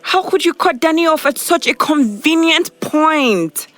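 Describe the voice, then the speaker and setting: Slow-speaking, troubled young woman. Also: sits high, lively intonation, fairly bright tone. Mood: anxious, pleading. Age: young adult. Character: troubled young woman, suspicious and hurt girlfriend. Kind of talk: audio drama dialogue. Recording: faint music behind the voice.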